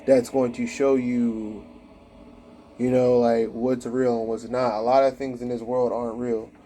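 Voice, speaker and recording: A man's voice: mid-range voice, level tone, measured pace, warm tone, a little hiss or hum. A man in his late twenties giving casual spiritual advice in a rambling monologue, full of "like" fillers. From United States. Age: late 20s.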